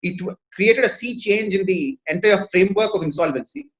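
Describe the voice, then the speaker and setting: A man with an Indian English accent, a Tamil native speaker, gives a measured, formal panel talk over a video call, pausing often on fillers. His voice sits high for a man. The sound is muffled and lo-fi. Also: fairly bright tone. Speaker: panelist, lawyer.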